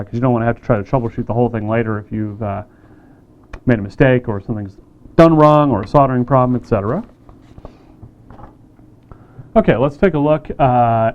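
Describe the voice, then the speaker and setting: A man casually demonstrating a radio kit, plain-spoken and relaxed, with frequent fillers. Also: mid-range voice, highs cut off. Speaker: company staff demonstrating a kit.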